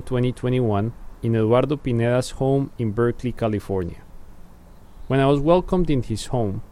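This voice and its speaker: A man hosting an oral history, reading a formal introduction and then asking questions in a measured way. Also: mid-range voice, mellow. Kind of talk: read introduction, then interview.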